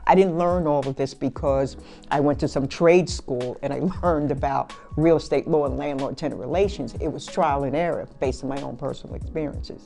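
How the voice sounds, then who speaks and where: A woman's voice: extremely low pitch, normal speed, creaky, dark tone, soft background music. A middle-aged woman tenant speaking in a documentary interview, assured and explanatory. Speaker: tenant advocate. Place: indoor room.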